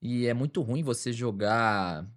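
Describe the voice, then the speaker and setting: A man's voice: medium pitch, measured pace. A man from Brazil, a streamer, chatting casually and reacting to a video with lots of slang.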